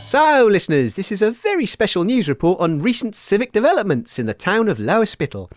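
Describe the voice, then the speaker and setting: A man hosting a scripted comedy radio show, chirpy and quick to laugh. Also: high-pitched, very animated, music in the background.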